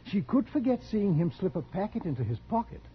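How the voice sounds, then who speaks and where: Sly, high-voiced scholarly blackmailer. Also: male voice, very animated, fairly quick. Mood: smug, accusing. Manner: polite, precise, insinuating. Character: scholarly male collector, genteel, sly, quietly menacing blackmailer. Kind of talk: 1940s radio drama acting. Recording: dull, slightly noisy 1940s radio recording.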